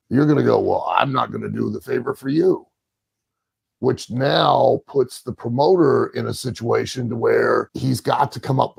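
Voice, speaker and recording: A man with a gravelly, husky voice giving opinionated analysis in a podcast conversation, deliberate and emphatic, repeating key phrases. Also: medium pitch, a little mellow, no room sound. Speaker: podcast panelist from the wrestling business.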